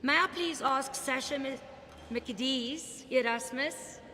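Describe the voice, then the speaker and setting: A hoarse woman presenting awards in a formal, emphatic, measured speech at a dinner. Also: high voice, background noise. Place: indoor banquet hall. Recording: echoey hall with background chatter.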